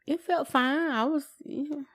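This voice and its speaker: A woman's voice: high voice, fairly even intonation, unhurried. A woman co-hosting a casual podcast, laid-back and colloquial in short replies. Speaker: podcast co-host. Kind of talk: casual couple's podcast chat.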